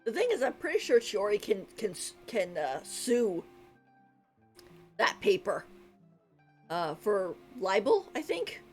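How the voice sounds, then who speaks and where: A woman's voice: medium pitch, very animated, slow pace, pauses often, darkish tone, faint music behind. A woman giving casual, opinionated live commentary as she streams a game. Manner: informal, opinionated.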